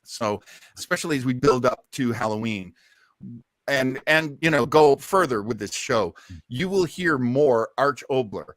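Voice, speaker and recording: A man's voice: mid-range voice, lively intonation, unhurried, a bit bright, no room sound. A man chatting casually as the host of a listening party, commenting as he goes.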